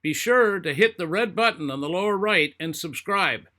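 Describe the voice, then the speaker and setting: A man in his fifties, a safety speaker, giving an energetic, emphatic speaking tip and repeating his key rule. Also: high-pitched, normal speed, no room sound. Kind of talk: motivational speaking tip to camera.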